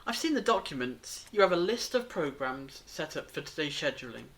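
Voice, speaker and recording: An actor playing a knowing time traveller in an audio drama, speaking in a measured, grave, explanatory way. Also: medium pitch, sing-song, fairly bright tone, breathy edge, heavily acted. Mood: measured, explaining.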